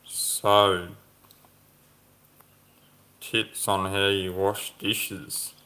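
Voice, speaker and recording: Slow, musing man. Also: sits low, little pitch movement, pushed and bright. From Australia. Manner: slow, musing, reads comments aloud and ponders. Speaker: livestream host reading chat. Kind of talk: casual livestream chat.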